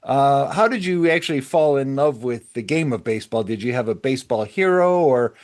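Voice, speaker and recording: A man with a medium-pitched voice hosts a sports podcast. He talks casually, introducing his guest and putting questions as an interviewer. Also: animated, brisk, a little mellow.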